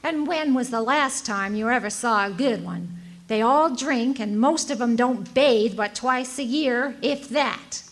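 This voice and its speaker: Woman playing a high-voiced, haughty frontier agitator. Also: unhurried. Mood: scornful. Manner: haughty, scornful, haranguing. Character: self-righteous, bigoted frontier townswoman, indignant agitator. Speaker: radio drama actor. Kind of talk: live radio drama acting. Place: hotel event room, live performance. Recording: live stage recording, faint noise.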